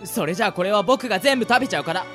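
A woman's voice: mid-range voice, rapid-fire, faint music behind, lightly acted. A woman playing an earnest, pleading young boy prisoner in a radio drama. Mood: exasperated. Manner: expressive, boyish, protesting. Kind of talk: amateur radio drama acting.

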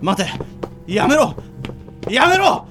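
A man's voice: very high-pitched, sing-song, takes it slowly, full character voice. Man playing a defiant captive in heavy reverb. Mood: desperate anger. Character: defiant male captive under interrogation, stubborn and secretive. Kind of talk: amateur radio drama acting. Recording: long hall reverb, faint music.

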